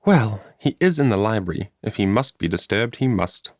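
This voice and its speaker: A man's voice: on the low side, animated, moderate pace, no room sound, clean studio sound, close to an everyday voice. Man voicing a peevish young servant girl. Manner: brusque, grudging. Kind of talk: storybook character voice in audiobook.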